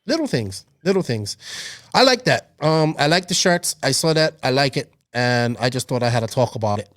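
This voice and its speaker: A chatty man hosts a casual podcast, upbeat and a little rambling. His voice sits at an ordinary pitch. The recording is dry, close and without room sound. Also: sing-song, moderate pace, a bit bright, dry recording. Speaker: podcast host.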